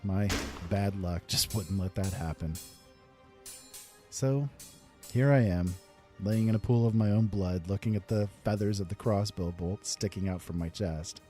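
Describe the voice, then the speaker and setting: A man's voice: lowish voice, measured pace, dark tone, soft background music. A man reading a first-person fantasy story aloud in a wry, rueful way.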